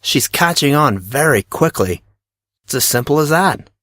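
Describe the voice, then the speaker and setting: A man's voice: a little high, animated, normal speed, fairly bright tone, no echo. A man playing a cocky, breezy teenage schoolboy who talks in slangy, self-assured teasing for an audio drama. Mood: cocky, explaining. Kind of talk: audio drama character reading.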